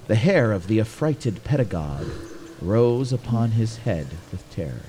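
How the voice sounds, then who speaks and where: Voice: mid-range voice, sing-song, background noise, natural voice. A performer playing a knowing storyteller, narrating a spooky old tale in formal, literary, theatrical language. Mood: ominous, hushed. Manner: dramatic, measured, formal.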